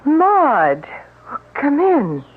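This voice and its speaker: An actress playing a worried wife in a 1970s radio drama, earnest and questioning. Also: female voice, sits high, wildly swooping pitch, extremely slow, full character voice. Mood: soothing. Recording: narrow-band old radio broadcast.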